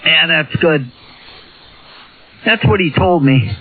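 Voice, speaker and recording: A man's voice: a little high, lively intonation, takes it slowly, phone-quality sound, a little hiss or hum. A plainspoken man, chatting casually over CB radio. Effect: radio transmission. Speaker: CB radio operator. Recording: thin, band-limited CB radio signal.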